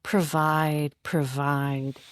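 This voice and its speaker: A woman's voice: sits low, little pitch movement, drawn-out words, no echo, clean studio sound. A woman speaking as a guest poet in a casual literary interview, informal and conversational. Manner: informal, frequent "like" as emphasis and hedge.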